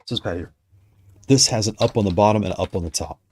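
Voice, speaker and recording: A man chatting casually on a livestream, rambling and correcting himself as he goes, his voice going hoarse. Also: lowish voice, fast talker, darkish tone, a little hiss or hum. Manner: rambling, self-correcting, conversational. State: losing his voice. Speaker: streamer discussing controllers.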